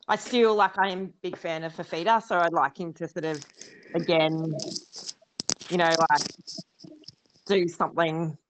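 A woman chats on a podcast, her thoughts rambling along with plenty of "you know" and "like". Her voice sits low for a woman. The audio is dull and lo-fi. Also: slight background noise. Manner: rambling, many fillers. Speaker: podcast co-host. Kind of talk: casual podcast chat. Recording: dull lo-fi sound.

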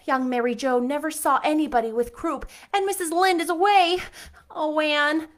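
A scared young girl, as a woman reading a story puts her on, high and breathless, pleading through near tears. Her voice sits well above the reader's own. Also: steady tone, moderate pace, lightly acted. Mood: sobbing. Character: frightened young girl, the friend. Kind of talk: audiobook character voice.

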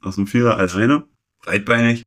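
A man in his twenties chatting casually as a podcast co-host, telling stories in a halting, rambling way. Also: mid-range voice, fairly bright tone. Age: 20s. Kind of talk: casual chat.

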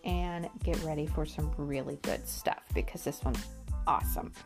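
A woman's voice: sits low, fairly even intonation, moderate pace, over loud music. A woman with a stuffy nose from allergies, hosting a Bible podcast, reading scripture aloud and then reflecting on it earnestly, with frequent restarts.

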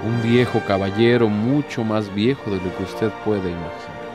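An actor playing an ancient, courtly Devil in a radio drama, a sly and despairing tempter who speaks formally and insinuatingly. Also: male voice, on the low side, normal speed, natural voice. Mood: courtly, boastful. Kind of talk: theatrical radio drama acting. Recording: music behind the voice.

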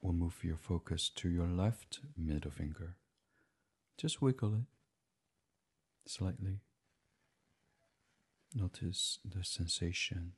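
A man leading a gentle guided sleep meditation in a soothing, hushed, breathy voice that drifts toward whispering. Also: low voice, takes it slowly, pauses often.

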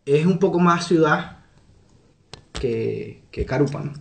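A man's voice: a little high, animated, slow, gravelly, echoey room, a little hiss or hum. A young man from Venezuela with a Venezuelan accent, answering interview questions in an earnest, reflective way. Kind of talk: conversational interview.

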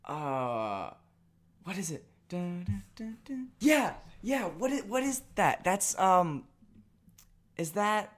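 A man's voice: high voice, big pitch swings, slow pace, a bit bright. Rambling young male podcast co-host. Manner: rambling, with many "likes" and laughs. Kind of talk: casual podcast chat.

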